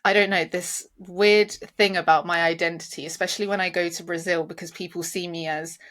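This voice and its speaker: A woman's voice: fairly low pitch, fairly even intonation, fairly quick, a bit bright. A young woman from the UK with a South London accent, co-hosting and asking searching questions with restarts. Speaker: podcast co-host. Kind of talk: casual interview questions.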